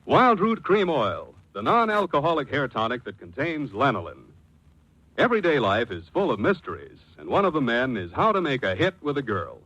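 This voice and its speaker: A man's voice: mid-range voice, wildly swooping pitch, normal speed, fairly bright tone, slightly rough, dull audio. A man announcing in a polished, punchy 1940s radio advertising style.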